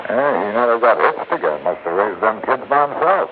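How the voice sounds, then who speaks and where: Deep, lively wisecracking investigator. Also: male voice, fairly quick. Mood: casual, probing. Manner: slangy, colloquial, sardonic. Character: gruff, wisecracking male investigator, cynical yet uneasy. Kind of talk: 1960s radio drama dialogue. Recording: tinny, noisy 1960s radio recording.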